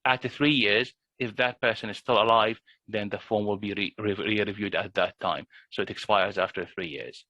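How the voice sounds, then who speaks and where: A man's voice: fairly low pitch, fairly even intonation, moderate pace, hardly any pauses, pushed and bright, no echo, lo-fi sound. A man, a GP, explaining a benefits form in an online workshop, with many fillers and restarts. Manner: hesitant, frequent restarts and fillers. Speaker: GP presenter. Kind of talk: explanatory presentation.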